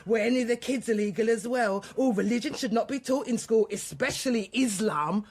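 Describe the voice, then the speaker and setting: A woman's voice: a little high, brisk, darkish tone, slightly rough. An actress playing an angry, grieving mother under police questioning, defiant and colloquial, in a naturalistic radio drama. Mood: bitter, scornful.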